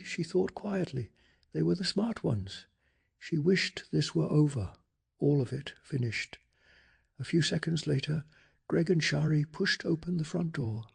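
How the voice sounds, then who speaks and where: A man narrating a bedtime story in a soft-spoken ASMR style, calm, hushed and deliberate. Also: a little high, creaky, breathy edge.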